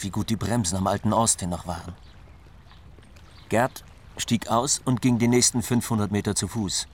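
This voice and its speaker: Actor as wry bar owner guy, lowish voice, german radio play, talking like in an interview. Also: slight background noise, lightly acted. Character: male bar owner recounting his friend's romance, sceptical.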